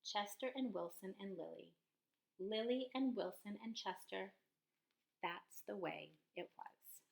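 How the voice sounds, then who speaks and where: A woman with a mid-pitched voice reads aloud to children like a teacher. Her delivery is expressive and deliberate, with clear pauses for young listeners. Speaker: teacher reading a picture book aloud to children. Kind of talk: storybook read-aloud, speaking to children.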